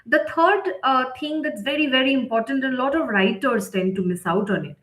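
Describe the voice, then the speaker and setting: Female instructor, higher register, explanatory live-session delivery with check-ins, recorded with strong room echo. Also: fairly quick, a bit bright. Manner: explanatory, often checking in with "right?". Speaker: editor leading a writing session. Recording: roomy, echoing sound.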